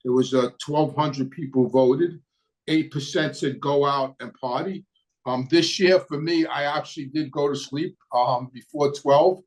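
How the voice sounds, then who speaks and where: A man's voice: fairly high pitch, steady tone, measured pace, darkish tone, poor audio. Older New York man hosting a podcast. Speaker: podcast host. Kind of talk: casual podcast monologue to viewers.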